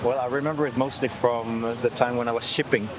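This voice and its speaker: Male, on-location chat at a harbor, relaxed and halting, street and vehicle noise. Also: mid-range voice. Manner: relaxed, halting talk with fillers. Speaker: interviewee on location. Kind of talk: casual on-location talk. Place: outdoors at a busy harbor. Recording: outdoor street noise, traffic.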